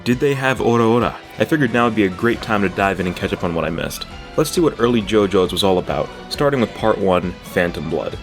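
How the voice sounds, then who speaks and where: Low-voiced man narrating over music. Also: expressive, fast talker, few pauses. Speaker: video essayist, retrospective narrator. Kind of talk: scripted retrospective narration with dry jokes.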